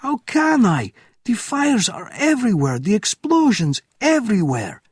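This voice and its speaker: A man voicing an overwhelmed male army captain who cries out loud, high and desperate. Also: wildly swooping pitch, measured pace, husky, no echo, clean studio sound, heavily acted. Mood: desperate, shouting.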